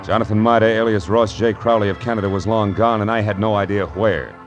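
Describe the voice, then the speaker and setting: A man's voice: fairly low pitch, fairly quick, muffled recording, natural voice. A man acting a tough, wisecracking, cynical private detective in a 1940s radio noir, with a wry, slangy, punchy delivery. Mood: wry narration. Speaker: narrator and lead. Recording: vintage 1940s radio recording with music.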